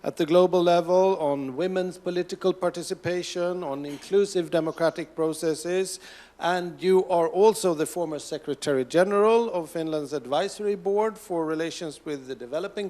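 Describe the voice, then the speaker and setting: Swedish guy hosting a webinar panel, voice a bit high, lots of uhs. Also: darkish tone. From Sweden. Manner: hesitant, many fillers and restarts. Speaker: moderator. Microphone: video call.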